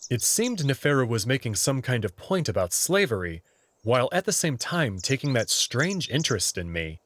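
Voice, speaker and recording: Animated male story narrator. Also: medium pitch, moderate pace, slight background noise. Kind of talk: first-person story narration.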